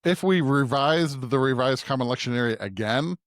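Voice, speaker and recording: A man's voice: medium pitch, normal speed, no echo, studio quality. A man co-hosting a podcast, speaking about scripture as a reader and conversational commentator. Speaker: podcast co-host.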